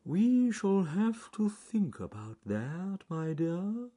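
A man voicing a thoughtful, courteous male tortoise in a storybook, with a deliberate manner. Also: high voice, very animated, warm tone, voice pushed up, exaggerated voice. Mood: pondering.

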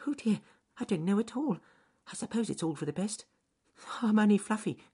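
A man's voice: high-pitched, lively intonation, moderate pace, warm tone, slightly rough, voice pushed up, exaggerated voice. A man voicing a timid, kindly, bewildered rabbit with a fretful, hesitant manner in a children's story.

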